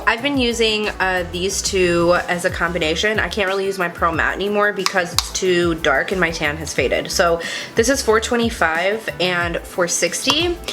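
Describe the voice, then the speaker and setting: A young adult woman vlogger chatting casually through a makeup tutorial, a bit congested and sniffly, talking in a confiding, self-deprecating way. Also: lowish voice. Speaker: beauty vlogger.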